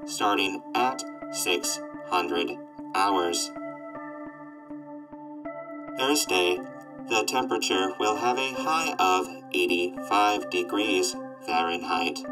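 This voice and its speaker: A man voicing a gloomy, glitch-prone robot weather announcer with a flat, mechanical, formal delivery in a radio drama. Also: low voice, slow, over music, low-quality recording. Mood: monotone, glitching.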